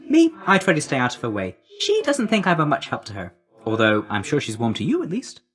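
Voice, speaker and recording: A man voicing a breezy, chummy young messenger god in a video game, with a slangy, glib, friendly manner. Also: on the high side. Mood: chatty, casual.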